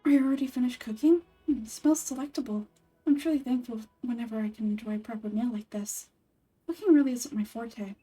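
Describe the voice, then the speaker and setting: A woman's voice: fairly high pitch, fairly quick, warm tone, faint music behind, natural voice, poor audio. A woman voicing an earnest, scholarly young woman researcher in game dialogue, speaking formally and articulately. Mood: grateful, polite. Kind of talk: video game dialogue read-through.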